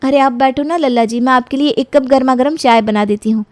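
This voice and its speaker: A woman acts out a young daughter-in-law in a dramatic story. Her voice is high and emotional, polite and deferential with an anxious, pleading edge. The sound is dry and close. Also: fairly quick, dry recording, close to an everyday voice. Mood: polite, caring.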